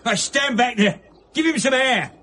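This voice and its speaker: An actor playing a rough, wheedling, combative old soldier begging on the street in a radio drama. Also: male voice, very high-pitched, moderate pace, bright, forceful tone, a little hiss or hum. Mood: aggressive.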